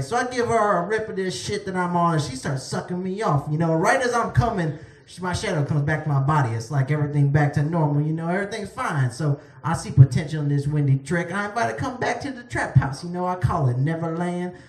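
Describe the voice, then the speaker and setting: A man's voice: fairly high pitch, natural voice. A man playing a sleazy, foul-mouthed hustler in a comic storytelling monologue, with slangy, swaggering, profane delivery. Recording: roomy echo, distant microphone.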